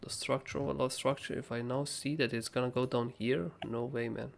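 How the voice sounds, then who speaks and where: A young man with a hoarse, raspy voice, casually talking through trading charts as a livestream host, rambling and swearing freely. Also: medium pitch, steady tone, fairly quick, a little hiss or hum. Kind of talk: casual live chart analysis.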